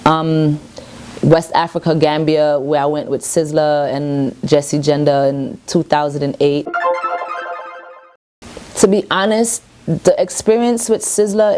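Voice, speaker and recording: Low, mellow-voiced New woman. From Brooklyn, New York. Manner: earnest, self-assured, loose and rambling. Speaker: reggae artist. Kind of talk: casual one-on-one interview. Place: her home.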